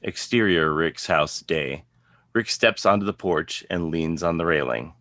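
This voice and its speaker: A man's voice: sits low, a little slow, a bit bright, no room sound. A man narrating a script, reading out scene headings and stage directions as a narrator. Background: guitar music.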